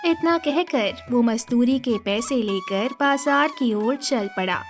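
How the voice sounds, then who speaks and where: A woman reads a children's story as narrator. Her voice is very high and her delivery slow and measured. Soft music runs faintly underneath.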